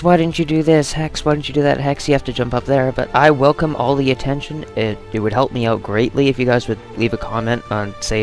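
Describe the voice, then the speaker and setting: A man hosting a gaming video with casual, rambling, self-deprecating commentary. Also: mid-range voice. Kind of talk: casual Let's Play commentary.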